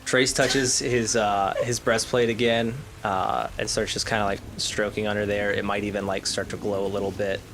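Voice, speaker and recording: A tabletop role-player improvising casually, narrating and speaking in character in a halting, hesitant way. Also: deep voice, level tone, fairly bright tone, slight background noise. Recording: rain ambience over tabletop game recording.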